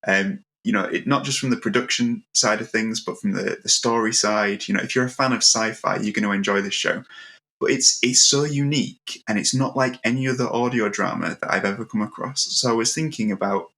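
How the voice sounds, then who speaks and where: A man's voice: fairly low pitch, a little fast, gravelly, sharp tone. A man hosting a casual interview, talking enthusiastically and addressing guests and listeners. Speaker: interview host.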